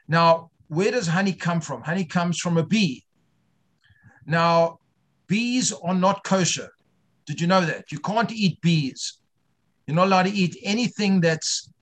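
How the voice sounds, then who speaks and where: A man with a fairly high voice leads an informal online class. He talks haltingly, repeats himself and builds his points through questions to the students. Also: fairly bright tone. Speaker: teacher of an online religious class.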